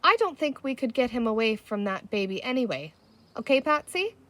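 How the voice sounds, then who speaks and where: A woman plays a sensible young storybook girl who takes charge. Her voice is high and very lively, coaxing with eager enthusiasm, firm but kind. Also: a little fast.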